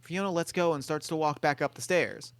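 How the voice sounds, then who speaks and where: A man narrating screenplay stage directions in a table read, brisk and matter-of-fact. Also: on the high side, slight background noise.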